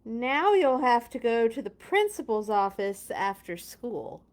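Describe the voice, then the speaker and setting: Animated high-voiced woman reading a storybook. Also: measured pace. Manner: playful, expressive, exclaims and comments while reading. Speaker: children's storybook reader. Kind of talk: animated read-aloud with casual chatty asides.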